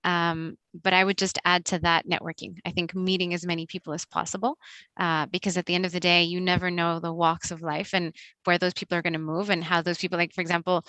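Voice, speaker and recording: A woman's voice: on the low side, little pitch movement, fast talker, sharp tone, no echo, poor audio. A woman speaking as a finance panelist on a video call, agreeable and building on what others said.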